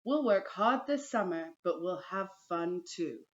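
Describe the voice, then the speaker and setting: A woman's voice: on the low side, expressive, measured pace, dull audio. A woman reading aloud a storybook's cheerful, encouraging male teacher as he talks to children. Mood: upbeat encouraging. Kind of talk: storybook read-aloud character voice.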